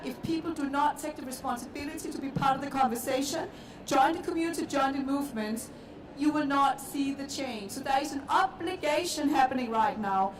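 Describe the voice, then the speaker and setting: A woman with a Danish accent, speaking earnestly and with emphasis as a panelist at a conference. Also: high-pitched. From Denmark. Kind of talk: panel discussion. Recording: echoey hall, distant mic, noisy.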